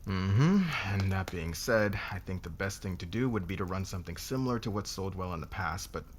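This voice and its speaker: A man playing a haughty, domineering businessman boss in an intimate ASMR roleplay, speaking in a measured, condescending way. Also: deep voice, slight background noise, close to an everyday voice. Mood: businesslike.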